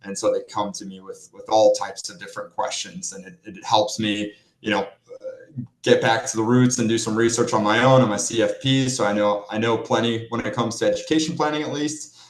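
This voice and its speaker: A young Norwegian man, a financial planner, chatting casually as an interview guest, with relaxed fillers and restarts. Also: on the low side, brisk, echoey room, rough recording. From Norway.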